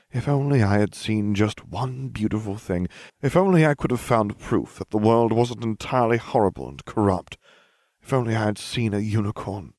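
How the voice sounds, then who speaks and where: A man's voice: lowish voice, darkish tone, dry recording. A man voicing a smug, theatrical, scheming schoolchild in an audiobook, glib and mock-grave.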